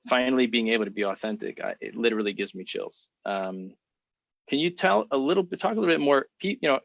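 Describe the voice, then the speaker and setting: A man hosting a podcast and interviewing his guest, effusive and affirming, with quick restarts. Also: medium pitch, creaky, a bit bright, no echo. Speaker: podcast host and speech therapist. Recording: dull, dry lo-fi audio.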